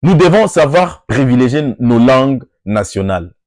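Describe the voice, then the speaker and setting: A man's voice: medium pitch, sing-song, normal speed, a little mellow, no echo. Congolese guy preaching to workers, voice leaps way up n down, dry close sound. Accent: Congolese French. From Democratic Republic of the Congo. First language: Lingala or Swahili. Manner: oratorical, rising emphatic peaks, switching between French and local languages. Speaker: NGO speaker. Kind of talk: rousing address to workers.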